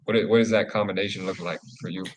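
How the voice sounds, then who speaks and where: A man's voice: low-pitched, fairly even intonation, fast. A man co-hosting a live men's group talk, laid-back and casual, swearing easily. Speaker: co-host.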